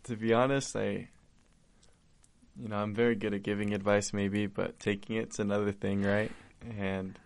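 A young man from Argentina, a podcast guest talking in an earnest, heartfelt way with halting restarts. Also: on the low side, little pitch movement, gravelly. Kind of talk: casual conversation.

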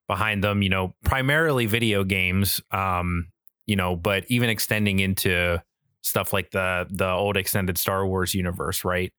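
A man's voice: low voice, fairly bright tone, smooth, no room sound, clean studio sound. An American man in his thirties chatting casually as a podcast co-host, enthusiastic, rambling and freely profane. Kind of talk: casual chat.

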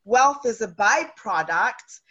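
A woman's voice: fairly high pitch, expressive, slow, fairly bright tone, breathy edge, highs cut off. Woman from South Africa. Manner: chatty, frequent fillers and restarts. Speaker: guest real estate investor. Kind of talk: casual interview.

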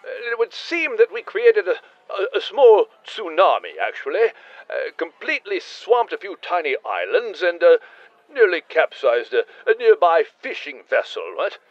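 A man's voice: high voice, very animated, normal speed, no echo, highs cut off. A man voicing a huge, genteel British whale, posh and bumbling, heard over a speakerphone in a comic audio drama. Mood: sheepish. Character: huge, genteel, modest adventurer whale, male.

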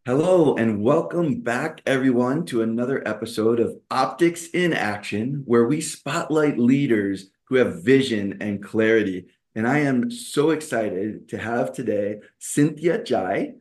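A man's voice: medium pitch, a little slow, few pauses, darkish tone. A man hosting a podcast interview, enthusiastic and welcoming, often restarting his sentences. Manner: enthusiastic, with many restarts and fillers. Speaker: podcast host.

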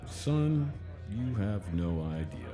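Lively low-voiced dad played by a man. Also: slow pace, music in the background. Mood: playful, fond. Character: easygoing, affectionate scientist dad. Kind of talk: audio drama acting.

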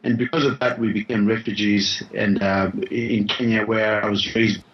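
A man from Uganda speaks earnestly as an interview guest, pausing and restarting as he tells his story. His voice sits a little low. The sound is muffled and lo-fi, as if coming over a call line. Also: flat delivery. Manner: earnest, pauses with frequent uh fillers and restarts. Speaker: guest, founder of a charity. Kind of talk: podcast interview, reflective storytelling. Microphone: phone or call line.

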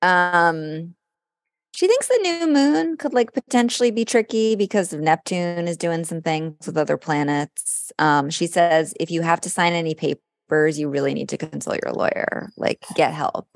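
A woman's voice: fairly low pitch, normal speed, no echo, studio quality. A woman co-hosting a podcast in casual chat, reading horoscopes aloud in a conversational way with some dramatic delivery. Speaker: podcast co-host.

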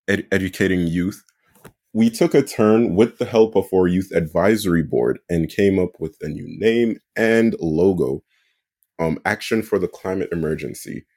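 A man with a husky voice, a nonprofit guest explaining things casually in an interview. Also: low voice, a little mellow. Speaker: guest, nonprofit board member.

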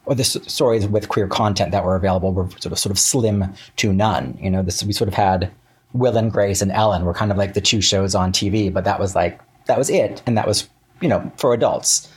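An American man talking casually as an interview guest, in a halting, self-correcting way. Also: on the low side, breathy edge. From Central Florida, United States.

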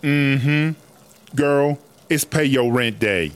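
A man's voice: medium pitch, drawn-out words, clean tone. A man playing a brash, vain female inmate who bosses a cell block with sassy, slangy talk in a comedy audio drama. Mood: bossy.

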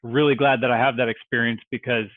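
A man from Texas speaking as a real estate investor guest on a podcast, relaxed and joking. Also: mid-range voice, fast, no room sound, narrow, tinny audio. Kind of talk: podcast interview.

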